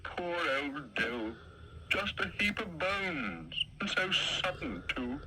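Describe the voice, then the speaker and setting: Guy putting on a fretful grumbly lion, higher than his own voice, swooping all over, bright tone. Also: sing-song, takes it slowly, slight background noise, heavily acted, poor audio. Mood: distressed. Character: fussy anxious male lion, easily flustered. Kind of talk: storybook reading.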